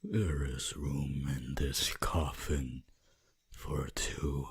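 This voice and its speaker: Very deep, whispery vampire lord. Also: male voice, fairly even intonation, exaggerated voice. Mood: darkly seductive. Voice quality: breathy, husky, often whispering. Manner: slow, theatrical, archaic phrasing. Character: possessive, seductive, menacing vampire lord. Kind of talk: breathy whispered ASMR roleplay.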